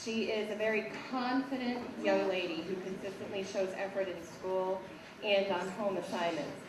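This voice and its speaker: A woman teacher with a raspy voice, reading prepared praise for students at a school assembly. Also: mid-range voice, steady tone. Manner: measured, reads prepared praise. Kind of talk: award speech at a school assembly. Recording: echoey hall, distant mic, background noise.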